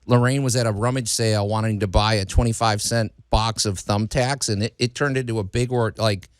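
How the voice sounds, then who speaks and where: A man's voice: on the low side, steady tone, no room sound. Easygoing male radio host, dry studio. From Chicago, USA. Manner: easygoing, halting, many fillers. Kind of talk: casual radio interview.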